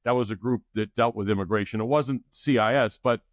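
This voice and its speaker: Middle-aged male guest, measured explainer, dry close recording. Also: medium pitch, no room sound. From United States. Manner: thoughtful, explanatory with restarts. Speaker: guest expert. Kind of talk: podcast interview.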